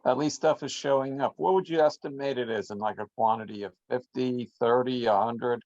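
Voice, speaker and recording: A man's voice: medium pitch, a little fast, darkish tone, dry recording. A man casually asking questions as a club member at an online meeting, over a video call. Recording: dull lo-fi video call audio.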